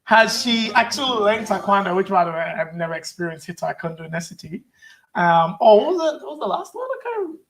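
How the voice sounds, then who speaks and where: A man's voice: high-pitched, big pitch swings, quick pace, a bit bright, poor audio. Hesitant, playful man. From Nigeria. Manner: hesitant, playful, self-deprecating, frequent restarts. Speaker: youth group co-host. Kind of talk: casual chat, playful game of two truths and a lie.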